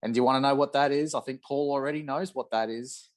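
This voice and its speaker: A man's voice: medium pitch, steady tone, fast talker. A man chatting and bantering casually as a podcast guest on a video call. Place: indoor room at home, on a video call.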